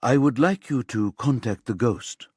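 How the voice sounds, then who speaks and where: A man voicing a calm, insistent police detective in an audiobook, quietly firm and persuasive. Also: medium pitch, measured pace, husky, no echo, studio quality.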